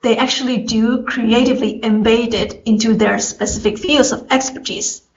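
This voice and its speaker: A woman from Thailand with a Thai accent gives a formal, prepared academic presentation, measured and addressing an audience step by step. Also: a little high, fairly even intonation, slight background noise. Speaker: conference presenter. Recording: roomy echo, slightly dull sound.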